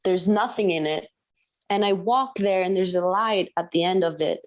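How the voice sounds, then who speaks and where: A woman's voice: on the low side, normal speed. A woman from Greece, a guest on a podcast, telling stories in a cheerful way and laughing often. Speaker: guest musician. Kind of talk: casual interview chat.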